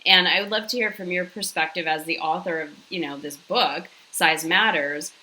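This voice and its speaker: A woman's voice: on the low side, moderate pace, sharp tone, poor audio. A woman hosting an interview, introducing her guest and asking questions in an enthusiastic, affirming way. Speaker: host.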